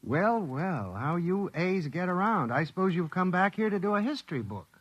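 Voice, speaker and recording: A man's voice: sits high, very animated, moderate pace, dry recording. A male actor playing a chatty, overworked repairman in a folksy 1950s radio drama style. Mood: chummy. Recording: dry, dull vintage radio recording.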